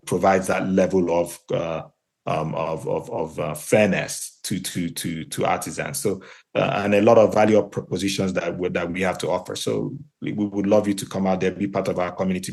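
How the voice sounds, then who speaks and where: A man's voice: low voice, gravelly, a little mellow. A man from Nigeria whose first language is Igbo, speaking as a podcast guest, thoughtful and full of fillers and restarts. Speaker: guest, marketplace co-founder and CEO. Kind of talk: podcast interview answer.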